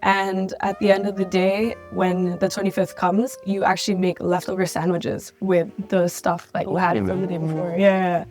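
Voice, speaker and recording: A woman's voice: fairly low pitch, little pitch movement, normal speed, few pauses, a little mellow, soft background music. A woman from Denmark hosting a casual roundtable chat. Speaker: podcast host.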